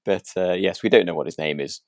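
A podcast co-host in relaxed discussion, talking in a hesitant, softened way and given to chuckling. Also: low voice, fast, dry recording, clean studio sound.

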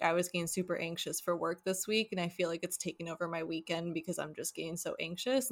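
A woman's voice: on the low side, creaky, fairly bright tone. A woman in her twenties co-hosting a podcast, talking candidly and a little anxiously about work. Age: 20s. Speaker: podcast co-host. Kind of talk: casual chat.

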